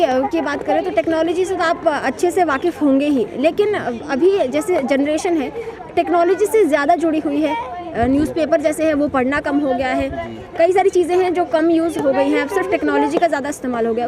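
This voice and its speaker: A woman's voice: sits high, a little fast, a crowd in the background. A woman reporter asking questions in a street interview outdoors in a park, with long, winding questions full of fillers.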